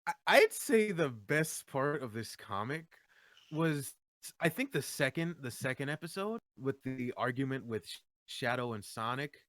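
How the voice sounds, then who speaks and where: Medium-pitched man, close dry sound. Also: animated, a little slow, fairly bright tone, no room sound. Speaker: amateur voice actor in a comic dub. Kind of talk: casual group chat, Q&A.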